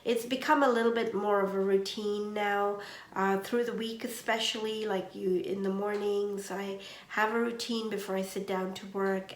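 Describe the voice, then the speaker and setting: A woman hosts a knitting podcast from home and chats at an unhurried pace, with frequent ums and uhs. Her voice has a gravelly edge and sounds a little stuffed up from allergies. The recording carries a roomy echo. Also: medium pitch. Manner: slow, gentle, with many ums and uhs. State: congested from seasonal allergies. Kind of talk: casual chat.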